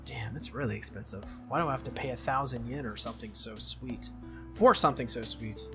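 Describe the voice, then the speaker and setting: A game playthrough host reading a visual novel aloud in an expressive way, with casual reactions. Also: mid-range voice, brisk. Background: game music.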